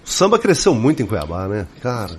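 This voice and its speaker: A man's voice: fairly low pitch, wildly swooping pitch, fairly quick. A Brazilian man hosting a radio interview in a casual, chatty way, informal and effusive, often interjecting. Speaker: radio show host. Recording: clear background noise and ambience.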